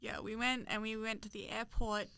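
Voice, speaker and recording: A woman's voice: fairly high pitch, level tone, normal speed, sharp tone, clear, resonant tone, dry recording. A young woman from New Zealand with a New Zealand accent, co-hosting a podcast, chatting casually and agreeing often with lots of yeahs. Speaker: podcast co-host. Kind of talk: casual chat.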